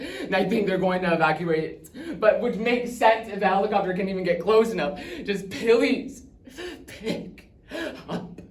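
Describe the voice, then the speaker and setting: A man playing a frightened father who tries to reassure, urgent and halting, in a dramatic monologue. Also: medium pitch, a bit bright, close to an everyday voice. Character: frightened father trapped in disaster, trying to reassure. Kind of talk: dramatic monologue, acted phone messages. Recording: distant echoey room, faint noise.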